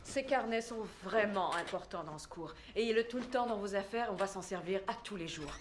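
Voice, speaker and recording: A woman's voice: medium pitch, normal speed, noisy background, lightly acted. A woman dubbing a patient, firm adult-education teacher in a French film dub. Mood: calm.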